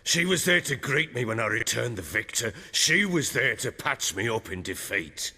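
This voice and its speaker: An actor playing a middle-aged lord in a video game, a proud, hard-drinking, volatile man with a rough voice and a coarse, brooding, theatrical manner. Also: medium pitch, big pitch swings, normal speed, pushed and bright, long reverb tail, heavily acted. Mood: bitter, brooding. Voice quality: gravelly, rough.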